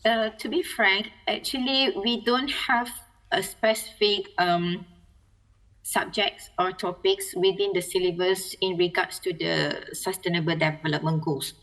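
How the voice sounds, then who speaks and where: A woman from Malaysia, a lecturer answering interview questions in a measured way, searching for words. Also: mid-range voice, fairly even intonation, fairly bright tone, poor audio.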